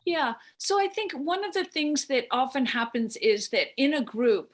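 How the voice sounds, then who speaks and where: A woman's voice: sits high, sing-song, normal speed. A woman facilitating an online workshop discussion from a room on a video call. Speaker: workshop leader.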